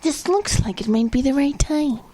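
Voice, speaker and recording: A woman's voice: sits high, animated, normal speed, warm tone, slight background noise. A woman playing a sly, coaxing schemer in a comedic western radio play. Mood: conspiratorial. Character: sly, coaxing female schemer sweet-talking a lawman.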